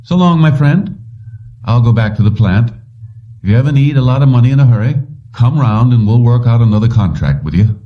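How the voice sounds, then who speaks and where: A man's voice: medium pitch, animated, a little fast, recorded from a distance, highs cut off, pitched above the performer's own voice, close to an everyday voice. A man voicing a hearty, breezy male company boss in an audiobook story.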